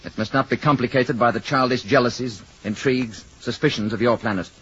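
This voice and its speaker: An actor playing a calm, superior alien visitor in an old radio drama, speaking in a measured, terse, stern way. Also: male voice, mid-range voice, lightly acted. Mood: grave, firm. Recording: muffled, noisy old radio recording.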